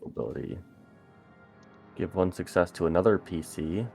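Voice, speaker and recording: A man's voice: deep voice, expressive, normal speed, creaky, a little mellow, soft background music. A man playing a tabletop roleplaying game, chatting casually about his moves.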